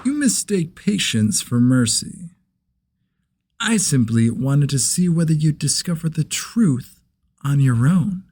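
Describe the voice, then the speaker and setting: A man voicing a calm, menacing villain who speaks deliberately, with condescending disappointment, in a scripted character read. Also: on the high side, husky, pitched above the performer's own voice, exaggerated voice. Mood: cold disdain.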